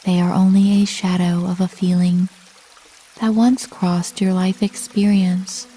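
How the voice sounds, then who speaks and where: A woman narrating a scripted sleep meditation like a bedtime story, calm and soothing, with measured delivery and long pauses. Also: on the low side, husky.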